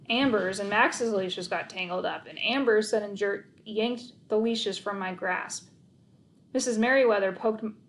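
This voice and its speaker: A woman narrating a children's story aloud in an expressive read-aloud style. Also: medium pitch.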